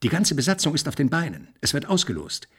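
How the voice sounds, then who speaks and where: Sardonic sceptic scientist for radio drama; husky, with big swings in pitch. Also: male voice, huge pitch swings, quick pace, a bit bright, heavily acted. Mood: sardonic, scheming. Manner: sardonic, provocative. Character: sceptical, caustic male scientist, sardonic and combative. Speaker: actor in a radio play. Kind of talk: German radio drama acting.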